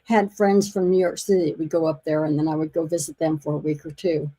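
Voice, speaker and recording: Mellow-voiced woman reminiscing. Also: sits low, little pitch movement, a little fast, slightly rough, low-quality recording. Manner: reminiscing, laughs often. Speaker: guest. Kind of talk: casual chat.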